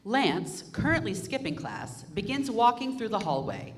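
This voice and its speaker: A young woman reading stage directions aloud in a staged musical reading, in a brisk, expressive way. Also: medium pitch, husky.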